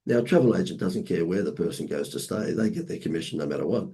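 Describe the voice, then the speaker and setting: Hoarse Australian man telling stories. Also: deep voice, fast. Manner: lively anecdotes with playful asides. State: hoarse from laryngitis. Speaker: marketing consultant guest. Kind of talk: casual storytelling interview.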